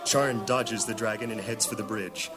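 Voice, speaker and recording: A man's voice: lowish voice, steady tone, normal speed, music in the background, natural voice. A man performing as a grave storyteller, giving dramatic scripted narration of a fantasy audio drama.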